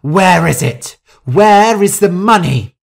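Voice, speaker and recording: A woman's voice: deep voice, expressive, takes it slowly, darkish tone, voice pushed up, heavily acted. A woman voicing a haughty, vain, hot-tempered older heiress in an audiobook story, with an imperious, theatrical manner. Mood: furious.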